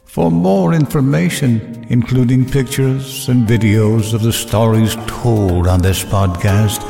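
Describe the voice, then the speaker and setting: A man hosting a podcast, reading its closing announcement slowly and deliberately. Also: medium pitch, vocal fry, dark tone, soft background music. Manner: slow, deliberate delivery. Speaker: podcast host. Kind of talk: scripted announcement.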